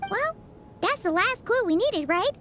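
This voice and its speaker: A woman playing an excitable, bossy and impulsive girl companion in a video game. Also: extremely high pitch, a little slow, a little hiss or hum, heavily acted. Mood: excited.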